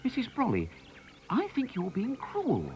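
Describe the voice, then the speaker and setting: A man voicing an earnest, excitable young boy who pleads and exclaims, in a children's storybook reading. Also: extremely high pitch, unhurried, soft background music, pitched above the performer's own voice, heavily acted. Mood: reproachful.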